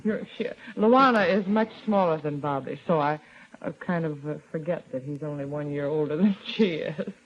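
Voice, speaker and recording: A woman's voice: deep voice, normal speed. An American woman interviewing in a chatty 1940s radio style, coaxing her guests along with questions. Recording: dull, lo-fi old radio recording.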